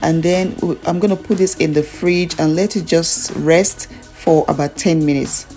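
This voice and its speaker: Low-pitched Nigerian woman, cooking vlogger. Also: fairly even intonation, unhurried, dark tone. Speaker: food vlogger. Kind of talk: casual cooking tutorial narration. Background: background music.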